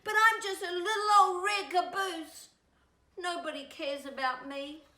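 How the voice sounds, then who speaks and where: Woman acting a high, plaintive little storybook caboose. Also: unhurried, pushed and bright, roomy sound, exaggerated voice. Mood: wistful. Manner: plaintive, exaggerated, sighing. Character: small, sad, self-pitying little red caboose. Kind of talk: children's storybook character.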